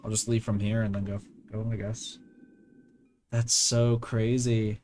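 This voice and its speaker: A man's voice: on the low side, little pitch movement, measured pace, a little mellow. A young man giving casual game stream commentary, slangy and repetitive, with casual swearing. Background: game music.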